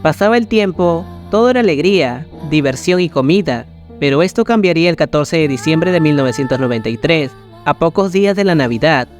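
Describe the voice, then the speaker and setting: A man's voice: a little high, lively intonation, brisk. A man reading a scripted creepypasta narration as a storyteller. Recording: music behind the voice. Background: background music.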